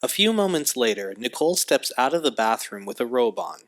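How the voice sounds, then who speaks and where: A man's voice: mid-range voice, sing-song, brisk. A man reading scripted scene narration for an audio drama, describing the action as narrator. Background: music.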